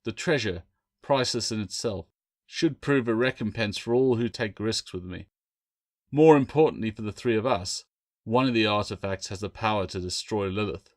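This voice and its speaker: Man voicing a commanding, low-voiced pirate captain. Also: lightly acted. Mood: grave, measured. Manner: authoritative, formal, measured. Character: proud, commanding male pirate captain, shrewd and unflappable.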